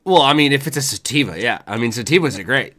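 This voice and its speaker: A man with a slightly hoarse voice hosting a casual chat show, rambling in a laid-back, slangy and sometimes profane way. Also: mid-range voice, animated, quick pace, bright, forceful tone. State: hoarse from voice work. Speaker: show host.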